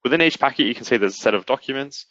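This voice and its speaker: A man presenting a software product in a webinar, explaining it step by step in an instructional way. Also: medium pitch, lively intonation, very fast, no echo, highs cut off. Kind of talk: explanatory webinar demo narration.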